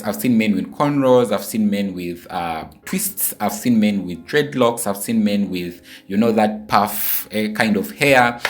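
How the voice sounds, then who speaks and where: A man in his twenties from Uganda, talking casually and directly to viewers in a travel vlog. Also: lowish voice. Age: 20s. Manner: chatty, repeats place names, addresses viewers directly. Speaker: vlogger.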